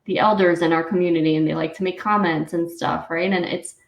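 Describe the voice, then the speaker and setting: A woman's voice: on the low side, steady tone, brisk, gravelly. A woman in her thirties from New Jersey with a New York–New Jersey accent, hosting an interview and asking questions in a hesitant, rambling way with many ums. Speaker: podcast host. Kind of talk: interview chat. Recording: echoey room.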